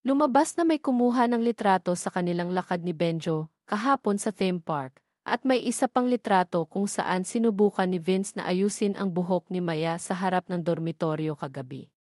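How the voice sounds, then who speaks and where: A woman's voice: on the low side, normal speed, darkish tone, no echo, clean studio sound. A woman reading a scripted romance story as its narrator. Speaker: narrator of romance audio stories.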